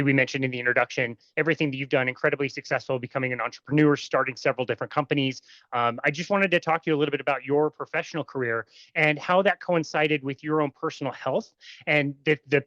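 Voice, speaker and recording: A man's voice: mid-range voice, steady tone, fast talker, a bit bright, no room sound, muffled recording. A man hosting a podcast interview, enthusiastic and full of praise for his guest. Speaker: podcast host.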